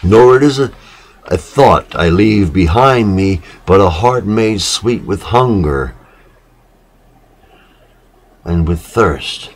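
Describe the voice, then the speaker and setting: A man giving a solemn literary reading, measured and dramatic, with pauses between short phrases. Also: low-pitched, animated. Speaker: reader of poetic literature.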